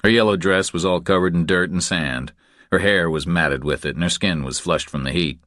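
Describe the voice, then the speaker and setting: A man reading scripted thriller fiction as an audiobook narrator, in a measured, deliberate storytelling manner. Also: low-pitched, fairly bright tone, dry recording, clean studio sound.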